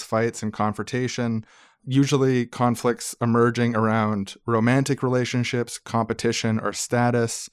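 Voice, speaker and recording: A man's voice: mid-range voice, clean tone, no room sound, clean studio sound. A man co-hosting a podcast, explaining things in an informal, conversational way. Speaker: podcast co-host.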